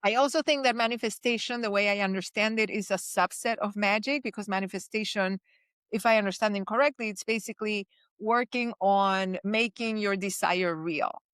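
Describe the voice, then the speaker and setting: A woman in her fifties from Chile talks with blunt directness. Her voice is smooth and clear, at a middle pitch. The sound is dry and close, with no room echo. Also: normal speed, a bit bright. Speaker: podcast guest, magic teacher. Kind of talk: casual interview.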